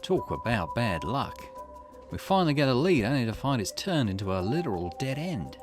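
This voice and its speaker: A man's voice: mid-range voice, very animated, normal speed, music in the background, lightly acted. A man voicing a shrewd, confident male investigator in a visual novel, speaking in a conversational, explanatory way. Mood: pondering, suspicious. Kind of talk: reading visual novel dialogue aloud.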